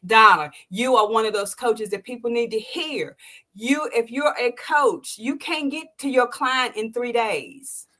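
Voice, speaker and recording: A woman in her sixties hosting an interview, telling a personal story with feeling, acting out quotes in bursts and dropping soft when moved. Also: measured pace. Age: 60s. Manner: animated storytelling, acts out quotes with sharp jumps in pitch; drops to a soft, low voice when emotional. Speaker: podcast host. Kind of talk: emotional interview testimony.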